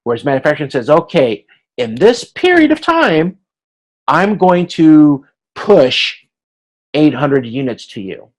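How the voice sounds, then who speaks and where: A man teaching an informal online class, explaining step by step in a halting way and voicing hypothetical exchanges as examples. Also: a little high, sing-song. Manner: explanatory, halting, checks understanding, acts out hypothetical dialogue. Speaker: instructor. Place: video conference class.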